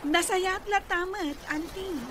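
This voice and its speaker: A woman's voice: very high-pitched, measured pace. A young woman playing a cheerful, polite city niece visiting her aunt in an Ilocano radio comedy drama. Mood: polite, upbeat. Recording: noisy radio drama with ambience.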